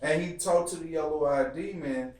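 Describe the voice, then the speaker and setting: A man's voice: on the high side, moderate pace, a loud crowd. Echoey, distant man in casual debate. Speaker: host of a TV series discussion show. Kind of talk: casual group discussion, profane slang. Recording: echoey room, distant from the mic.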